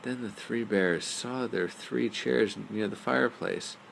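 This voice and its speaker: Low, gravelly man reading a bedtime story. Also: measured pace, poor audio. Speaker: storyteller, father reading aloud. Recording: faint background noise.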